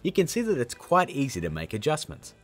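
Animated husky man hosting a tutorial. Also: medium pitch, a little fast, faint music behind. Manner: upbeat, chummy, addressing viewers as guys and gang. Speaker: tutorial host. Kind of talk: casual how-to tutorial narration.

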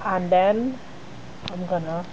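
A woman's voice: on the low side, slow pace, a little mellow, lo-fi sound, a little hiss or hum. A woman with a cold and a sniffle, walking viewers through a sewing project in a chatty, casual way. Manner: chatty, talks straight to the viewer. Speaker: sewing tutorial host. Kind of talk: casual step-by-step sewing tutorial.

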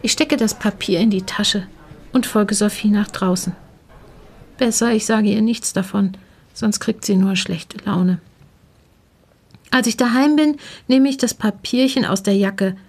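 A woman's voice: medium pitch, a little slow, a little mellow, slightly rough. A woman reading a scripted first-person short story. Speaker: story narrator.

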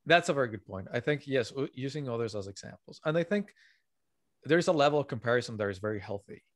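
A man's voice: medium pitch, lively intonation, quick pace, fairly bright tone, no echo, studio quality. A man in his thirties whose first language is not English, an author explaining his ideas earnestly in a podcast interview over a video call. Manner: earnest, emphatic, gives examples. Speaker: author guest. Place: home room with bookshelves. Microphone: video call, headset.

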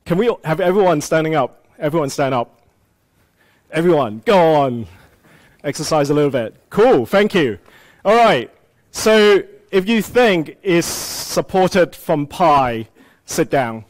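A man's voice: on the high side, animated, a little slow. A man from Hong Kong, a native Cantonese speaker, giving a live conference tech talk in a casual style with many fillers. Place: conference room with audience.

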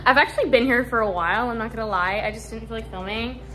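An eighteen-year-old woman vlogging into a phone held at arm's length, chatting casually with a sarcastic, deadpan edge. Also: on the high side, fast talker, slight background noise, low-quality recording. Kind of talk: casual vlog chat. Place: car, then small hotel room.

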